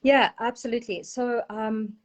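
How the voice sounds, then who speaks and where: A woman's voice: fairly high pitch, fairly even intonation, moderate pace, slight background noise. A woman in her forties from South Africa, with a South African accent and Portuguese as her first language, chatting as an interview guest over a video call, with frequent ums. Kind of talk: casual interview chat.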